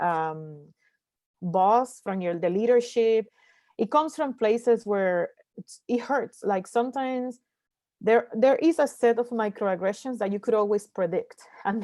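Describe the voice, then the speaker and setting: A woman from Puerto Rico whose first language is Spanish, speaking earnestly as a panelist in an online discussion, often pausing with fillers and restarting sentences. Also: mid-range voice, warm tone, no room sound. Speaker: panelist, scientist.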